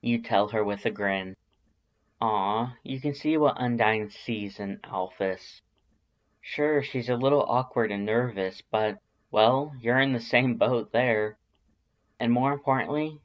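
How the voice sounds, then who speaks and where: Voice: mid-range voice, takes it slowly, highs cut off. A narrator reading a story aloud in a scripted audiobook style.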